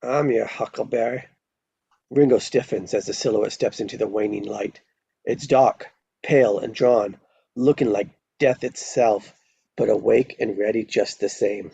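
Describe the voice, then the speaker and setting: A man's voice: on the low side, steady tone, moderate pace, gravelly, mellow, slightly rough. A man reading stage directions as narrator at a live screenplay table read, on a dull lo-fi microphone.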